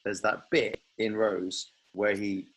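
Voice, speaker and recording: Male podcast host, slightly low and husky, rapid, informal, speculative chat. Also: level tone, fairly bright tone, rough recording. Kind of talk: casual podcast chat about a TV series.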